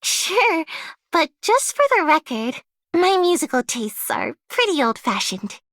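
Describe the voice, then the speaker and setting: An easygoing young video game character. Her voice is high, her delivery offhand and chatty, and the recording is dry. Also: sing-song, unhurried, a bit bright, no echo, exaggerated voice. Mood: relaxed, amused.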